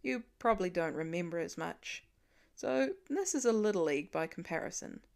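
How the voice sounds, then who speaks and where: A woman voicing a warm, wry, reassuring father in a storybook reading, talking gently. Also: on the low side, lively intonation, moderate pace, creaky, pitched below the performer's own voice.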